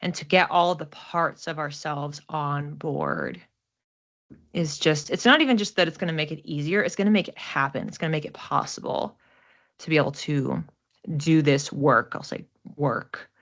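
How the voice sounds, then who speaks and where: A woman's voice: low voice, normal speed, fairly bright tone. A woman giving a casual, unscripted card and astrology reading, thinking aloud in a reflective, conversational way. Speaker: oracle card reader and astrology host.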